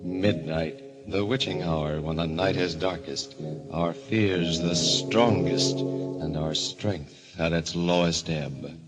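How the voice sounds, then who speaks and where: A man narrating and announcing a 1940s radio mystery show in a theatrical, ominous, deliberate manner. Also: sits low. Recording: vintage radio with music behind.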